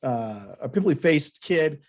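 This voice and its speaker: A man's voice: on the high side, lively intonation, measured pace. A man hosting a podcast, chatty and rambling as he tells a self-deprecating personal story. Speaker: podcast host. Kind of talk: casual interview chat.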